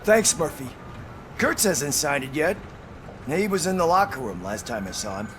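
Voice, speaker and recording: High-voiced, uneasy police officer. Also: male voice, big pitch swings, normal speed, background noise. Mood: uneasy, polite. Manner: hesitant, nervous. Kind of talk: video game voice acting.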